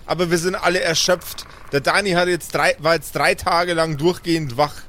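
A man playing an exasperated but caring adventurer in an improvised tabletop audio play, insistent and lecturing in a halting way. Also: fairly high pitch, steady tone, bright, forceful tone. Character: exasperated, caring male adventurer, pleading for reason.

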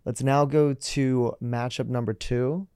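A young man hosts a casual music interview, upbeat and talkative, leaning on "like" as he goes. His tone is mellow and warm. The recording is dry and close to the mic. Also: medium pitch, steady tone, slow, clear, resonant tone, dry recording. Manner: upbeat, chatty, many likes. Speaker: podcast host.

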